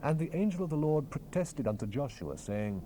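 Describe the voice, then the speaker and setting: A man narrating scripture in a solemn, measured, theatrical manner, as part of a dramatized Bible recording. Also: fairly high pitch, very animated, warm tone, low-quality recording. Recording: hissy 1970s cassette rip.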